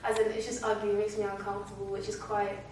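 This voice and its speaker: A woman's voice: mid-range voice, quick pace. Teenage woman, echoey and distant. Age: late teens. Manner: animated, emphatic, heavy use of "like" and "literally". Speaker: interviewee. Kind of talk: casual interview answers. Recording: echoey room, mic far off, faint hiss.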